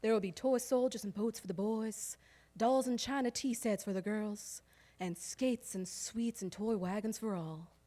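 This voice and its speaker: A woman's voice: mid-range voice, measured pace, warm tone, close to an everyday voice. A woman playing a harried, proud old-time household woman, telling a wry, emphatic theatrical monologue that lingers over its lists. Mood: relishing. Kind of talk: theatrical storytelling monologue on stage. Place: live stage performance in a recreation hall.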